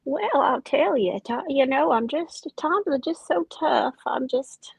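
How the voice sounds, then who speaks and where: A woman playing a gossipy old country woman who talks in a halting, folksy way. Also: sits high, animated, creaky, no echo.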